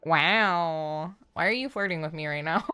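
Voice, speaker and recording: A woman's voice: sits low, measured pace, pushed and bright, clear, resonant tone. A woman streaming a game, talking casually and reading the story aloud.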